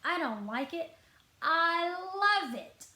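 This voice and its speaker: A woman's voice: very high-pitched, very animated, slow pace, sharp tone, pitched above the performer's own voice, exaggerated voice. Woman voicing a smug, sneering older brother. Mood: taunting. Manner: sneering, taunting. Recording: roomy, echoing indoor sound.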